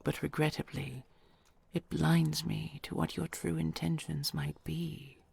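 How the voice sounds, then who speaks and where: A woman playing an ancient dragon queen, a tender and possessive lover, in an intimate romantic audio roleplay, whispery and hushed with formal archaic phrasing. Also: low-pitched, level tone, a little slow, full character voice. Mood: anxious, longing. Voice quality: breathy, whispery.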